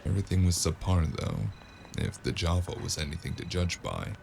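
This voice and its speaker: A man's voice: low-pitched, sing-song, creaky, darkish tone, full character voice. An actor playing a wry, world-weary cyberpunk freelancer who narrates his own noir tale in a sardonic, musing way. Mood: wry, brooding. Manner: sardonic, musing, drawn-out first-person storytelling. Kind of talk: noir audio drama narration. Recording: music behind the voice.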